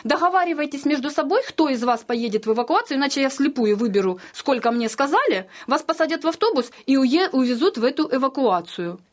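High-voiced woman, talks fast, casual interview, animated storytelling with quoted bits acted out. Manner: animated storytelling, acts out reported speech. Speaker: interviewee, eyewitness. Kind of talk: casual personal recollection in a group interview.

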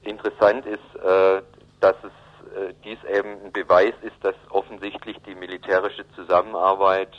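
A man giving a hesitant, analytical explanation in an unscripted radio interview, often pausing and rephrasing. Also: sits low, little pitch movement. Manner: hesitant, halting, with frequent ums and rephrasing. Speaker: interviewee explaining South American politics. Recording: dull, band-limited radio recording.

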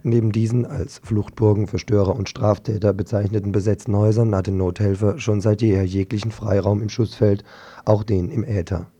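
A man with a low, husky and very dark voice reads a scripted radio report. His wording is formal and pointed, in the manner of a political news presenter. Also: fairly even intonation, fairly quick.

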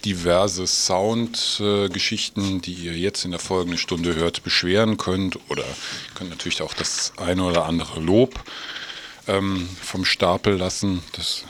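A man's voice: sits low, steady tone, measured pace, fairly bright tone, slight background noise. A man hosting a radio show informally, with an ironic, tongue-in-cheek manner.

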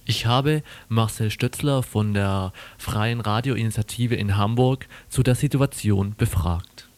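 A man's voice: lowish voice, a little slow, darkish tone. A man presenting news on the radio, linking from one item to the next. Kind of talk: radio moderation.

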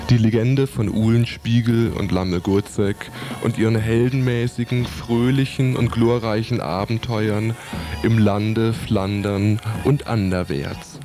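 A man with a low-pitched, notably mellow voice presents a scripted book review. He narrates like a radio reviewer reading from his script, in a soft-toned, dark timbre. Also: fairly even intonation, takes it slowly, soft background music.

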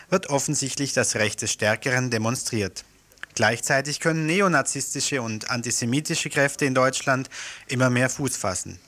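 Earnest male local radio presenter. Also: mid-range voice. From Germany. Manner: earnest, steady reading with small slips. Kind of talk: reading event notices and an appeal.

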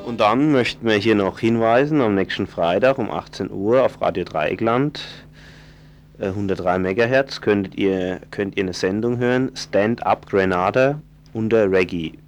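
A man with a fairly low voice reads out upcoming events on local radio, relaxed and chatty rather than polished. He stumbles, restarts and corrects himself along the way. Faint music plays softly behind him. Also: moderate pace. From southwest Germany. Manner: informal, stumbling, self-correcting. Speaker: radio presenter reading event listings. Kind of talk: casual live radio announcements.

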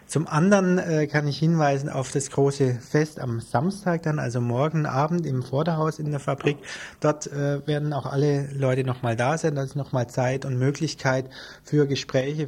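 A man with an average-pitched voice answers questions as a guest in a radio studio. He explains things in an orderly, matter-of-fact way without a script. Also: a little mellow, breathy edge. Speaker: radio station staffer, interview guest. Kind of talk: unscripted explanatory interview answers.

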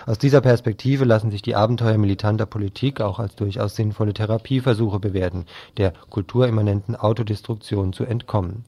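Low-pitched man reading radio announcements. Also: vocal fry, mellow. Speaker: radio presenter.

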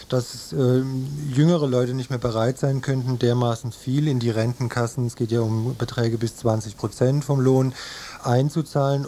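A man conducting a radio news interview in a measured, formal way. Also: medium pitch, dark tone.

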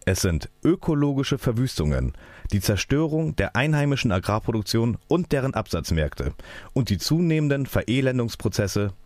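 A man's voice: mid-range voice, sing-song, moderate pace, a little mellow, dry recording. A man speaking as an activist, delivering a scripted political rally speech.

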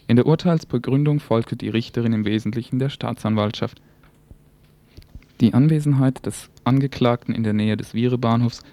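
A man's voice: medium pitch, a little mellow. A man reading scripted news commentary as a community radio presenter in a studio. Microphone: single shared studio microphone.

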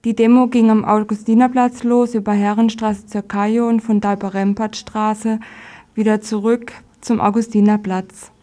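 Even, mellow woman reading a report. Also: on the high side, moderate pace. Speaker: radio news presenter. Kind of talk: scripted news report reading.

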